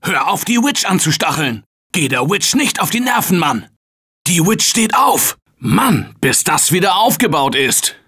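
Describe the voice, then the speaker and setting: An upbeat survivor, bright and lively. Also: male voice, high voice, a little slow, husky, full character voice. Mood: urgent. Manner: energetic, shouted warnings. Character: upbeat male office worker survivor, confident. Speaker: game character. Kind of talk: German video game dub voice lines.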